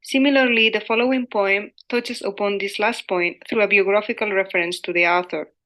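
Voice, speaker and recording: The bright, clear-cut voice of a woman researcher, a native Spanish speaker, formally reading her paper at a literature conference. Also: medium pitch, steady tone, a little fast, poor audio. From Spain. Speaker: academic researcher presenting a paper. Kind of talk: scripted conference presentation read aloud.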